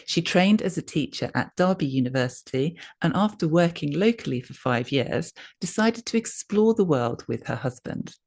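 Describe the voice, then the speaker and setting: A woman with a low-pitched voice reads a written article aloud. Her delivery is scripted narration, measured and clearly phrased, as for an advice piece. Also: lively intonation, darkish tone.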